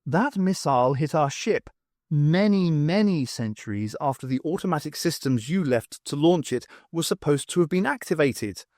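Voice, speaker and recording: A man voicing a brisk, confident woman adventurer in an audiobook, wry and emphatic. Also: fairly high pitch, expressive, darkish tone, dry recording, clean studio sound, close to an everyday voice. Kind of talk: audiobook character voice.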